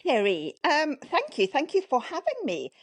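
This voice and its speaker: A woman's voice: high-pitched, huge pitch swings, moderate pace. A woman in her fifties from South Africa, with a South African accent carrying a Welsh twang, telling her story chattily as a podcast guest. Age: 50s. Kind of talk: casual interview chat.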